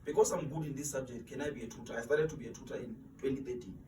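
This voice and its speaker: A man's voice: mid-range voice, racing. Earnest young man in an echoey room. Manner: earnest, repeats words when searching for them. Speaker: interview guest, student mentor. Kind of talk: motivational interview talk. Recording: echoey room, distant mic, faint noise.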